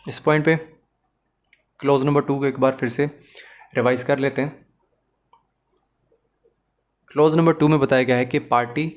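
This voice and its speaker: A Pakistani man speaks as a law lecturer at a medium pitch. He explains in a didactic way, talking straight to the learner and working through examples. English terms and phrases are woven through his sentences. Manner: didactic, addresses the learner directly.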